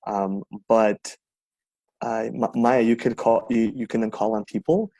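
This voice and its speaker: A man hosts a group video call, inviting people to share. He talks in a halting, filler-heavy way, with his mid-pitched voice sounding dry and close. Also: fairly even intonation, creaky, warm tone, no room sound, rough recording. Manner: hesitant, many fillers and restarts. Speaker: event co-host, moderator. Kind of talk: casual moderating on a video call.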